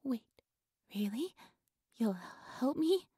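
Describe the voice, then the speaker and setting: A woman voicing a devoted, obsessive high priestess in an audio roleplay, soft and breathy, speaking with formal, fawning reverence to her dark god. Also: expressive, slow pace, dry recording, clean studio sound, exaggerated voice. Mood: honored, eager. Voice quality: soft, breathy, often whispery.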